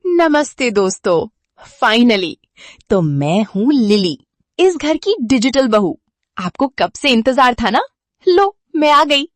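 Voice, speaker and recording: A woman acts as a chirpy modern young daughter-in-law in a cartoon. Her high voice swings up and down playfully, in a cheeky vlogger style, recorded close and dry. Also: sing-song, slow pace, dry recording. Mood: cheerful, cheeky. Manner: playful, cheeky, mixes in English slang. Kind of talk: cartoon vlog-style storytelling.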